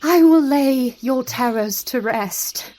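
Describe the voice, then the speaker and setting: An actress playing a devoted, tender young woman with formal, expressive speech in an audio drama. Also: high-pitched, takes it slowly.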